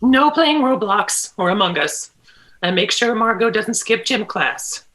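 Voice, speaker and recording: A woman's voice: mid-range voice, animated, fairly bright tone, poor audio. A woman reading a frazzled, bossy mom. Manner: brisk, bossy, keeps brushing off pain. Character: frazzled mother, insisting everything is fine. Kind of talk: table read.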